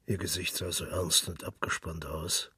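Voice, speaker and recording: A man reading literary narration for a radio drama in a hushed, intimate, gravelly and breathy voice. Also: sits low, level tone, normal speed, dry recording, far from the mic.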